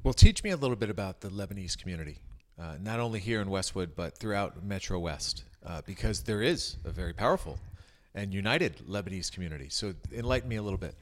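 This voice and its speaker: A man's voice: sits low, expressive, fast talker, few pauses, a bit bright. A man hosting an interview, asking friendly, leading questions in a conversational way. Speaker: interviewer, host.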